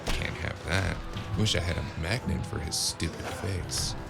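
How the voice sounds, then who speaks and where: A man's voice: sits low, unhurried, vocal fry. An actor playing a dazed, cocky hardboiled brawler who narrates his own fight in clipped, terse phrases, in the style of a noir audio drama. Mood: dazed, grim. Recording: loud music under the voice.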